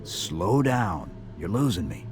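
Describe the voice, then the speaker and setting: A male super soldier in a video game cutscene. His voice is low and his delivery is terse, stoic and focused. There is prominent music behind him. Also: big pitch swings, slow pace, a little mellow. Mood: puzzled, firm.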